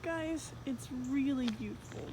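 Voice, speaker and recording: A woman's voice: sits high, unhurried. A woman vlogging casually from her backyard and home, chatty and giggly, rambling as she goes. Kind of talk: casual vlog chat. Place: backyard outdoors, then indoors. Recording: noisy home video recording.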